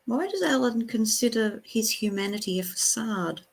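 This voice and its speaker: A woman's voice: a little high, animated, moderate pace, creaky. A woman giving critical, disapproving spoken commentary as the host of a reaction video, with blunt interjections.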